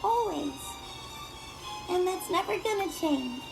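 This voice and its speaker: A man acts out a feisty young cartoon girl with a very high voice that swings up and down dramatically. She speaks earnestly and takes her time, as music plays behind her storybook lines. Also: lively intonation, slow pace, gravelly, exaggerated voice, poor audio. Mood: heartfelt, tender.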